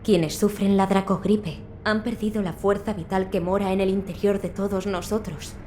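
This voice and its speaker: A woman portrays a dutiful physician from a video game, with a soft, mellow tone. She speaks in a measured, formal manner with a Castilian Spanish accent. Faint ambient noise runs underneath. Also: mid-range voice, fairly even intonation, natural voice. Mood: focused, clinical. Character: composed, dutiful female physician in feudal Japan.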